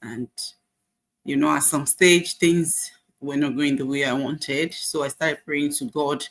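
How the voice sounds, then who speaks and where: A woman's voice: deep voice, steady tone, measured pace. A Nigerian woman in her forties sharing her life journey in a casual, faith-filled, encouraging way, with frequent fillers. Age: 40s. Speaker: nurse sharing her story. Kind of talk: casual personal testimony.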